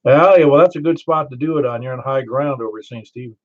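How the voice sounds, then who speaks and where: A man's voice: mid-range voice, quick pace, a little hiss or hum. Older Southern man chatting on ham radio. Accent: Southern American. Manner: chatty, storytelling, laughs easily. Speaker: ham radio net control. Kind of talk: casual radio chat. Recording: muffled ham radio audio.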